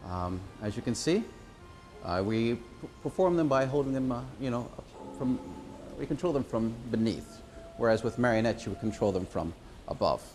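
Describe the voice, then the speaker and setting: A man giving an informal explanation as a puppeteer presenting a show, pausing and hesitating as he goes. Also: mid-range voice, expressive, darkish tone, soft background music.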